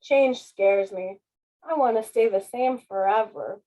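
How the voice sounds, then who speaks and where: A woman's voice: mid-range voice, unhurried, dark tone, pitched below the performer's own voice, close to an everyday voice, rough recording. A woman voicing an anxious, hesitant little fluffy cloud in a children's storybook. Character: anxious, upset little fluffy cloud who fears change.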